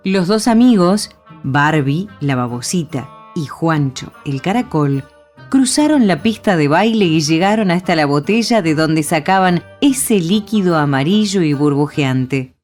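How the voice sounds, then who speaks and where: Lady narrator, deep voice, reading a little fable with music under it. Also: lively intonation, measured pace, darkish tone. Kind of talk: scripted storybook narration.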